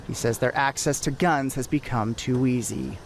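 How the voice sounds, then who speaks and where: Man, American accent, TV news reporter, scripted narration, mid-pitched voice, faint noise behind. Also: normal speed.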